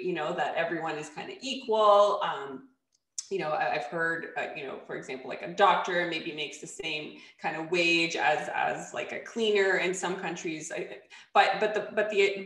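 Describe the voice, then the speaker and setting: A woman's voice: on the low side, a bit bright. Polish-born woman in an echoey room. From Poland. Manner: thoughtful, many fillers and restarts. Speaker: podcast guest. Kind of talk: casual video-call interview. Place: home room, plain light wall. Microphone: desk microphone on a video call.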